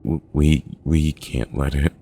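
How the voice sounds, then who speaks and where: A man's voice: very deep voice, fairly even intonation, slow, vocal fry, background noise, heavily acted. A man playing a frantic, broken trapped man in a horror audio log, crying and stammering as he pleads. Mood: sobbing, pleading. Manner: stammering, pleading, repeating words. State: crying, panicking.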